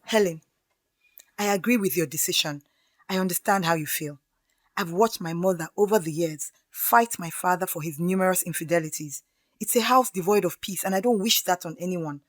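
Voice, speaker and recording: A woman playing a calm, supportive young friend who reasons in a measured way in a radio drama. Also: fairly low pitch, no room sound, lightly acted. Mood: sympathetic, advising.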